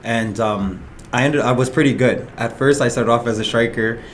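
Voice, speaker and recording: A man's voice: medium pitch, normal speed, slight background noise. A man, casually telling his story as an interview guest. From Ottawa, Canada. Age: 20s. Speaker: podcast guest, soccer player.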